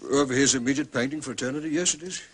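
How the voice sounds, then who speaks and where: An actor playing a discreet, deferential English manservant who speaks formally and respectfully, with polite hesitations and a quiet shrewdness. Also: male voice, mid-range voice, rapid-fire, husky, natural voice. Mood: thoughtful. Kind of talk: period radio drama acting.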